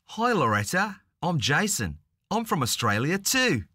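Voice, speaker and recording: Woman doing a calm young guy, low voice, plain. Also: a little slow, breathy edge, dry recording, studio quality, full character voice. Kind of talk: coursebook dialogue reading.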